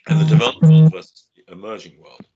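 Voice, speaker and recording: A man giving a measured, steady webinar lecture over a video call. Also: on the high side. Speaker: guest lecturer. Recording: dull lo-fi video call audio.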